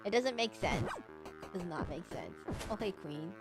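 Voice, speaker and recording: A woman's voice: fairly low pitch, expressive, measured pace. A woman with a slightly nasal voice chatting casually and self-deprecatingly as she rambles through a live game stream. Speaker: streamer playing a video game. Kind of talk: casual live-stream chat while gaming. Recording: music playing behind the voice.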